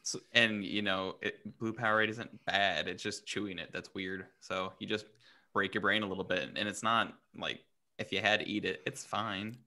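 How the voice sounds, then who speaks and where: Bright, low-pitched wry man. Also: fairly even intonation, moderate pace. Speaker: podcast guest co-host. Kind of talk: casual comedic chat.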